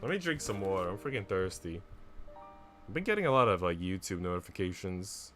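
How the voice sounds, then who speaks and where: A man's voice: low voice, sing-song, fairly quick, fairly bright tone, faint music behind. A man chatting informally and casually as he livestreams a game. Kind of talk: casual gaming stream chat and reading a visual novel aloud.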